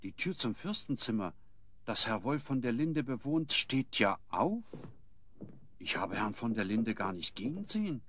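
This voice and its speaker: A man's voice: mid-range voice, very animated, measured pace, fairly bright tone, a little hiss or hum. A man playing a gossipy, easily indignant innkeeper in a German audio play, given to astonished exclamations. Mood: puzzled, alarmed. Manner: theatrical, prone to astonished exclamations. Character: indignant, gossipy male innkeeper of a town inn.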